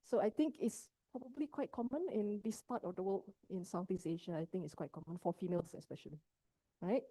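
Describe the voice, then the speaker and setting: Dark-voiced woman teaching. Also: medium pitch, brisk, no room sound, low-quality recording. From Indonesia. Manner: engaging, checks in with listeners often. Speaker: psychologist giving a talk. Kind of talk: mental health workshop talk.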